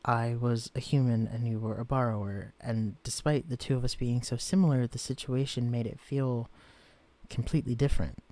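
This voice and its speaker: A man playing a shy, soft-spoken shrunken young man. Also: medium pitch, steady tone, normal speed, natural voice. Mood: tender. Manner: soft-spoken, hesitant, stammering when flustered. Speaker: character in a listener-directed romance audio. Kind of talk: audio roleplay drama.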